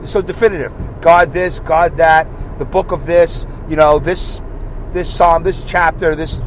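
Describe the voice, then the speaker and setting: A man hosting his own podcast alone, rambling in a casual monologue from inside his car, repeating himself as he thinks out loud. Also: a little high, steady tone, measured pace, a little hiss or hum. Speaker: podcast host.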